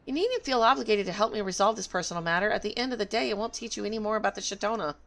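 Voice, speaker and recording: A woman's voice: medium pitch, very fast, vocal fry, fairly bright tone. A woman game streamer talking casually on a livestream and reading game dialogue aloud. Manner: opinionated, emphatic.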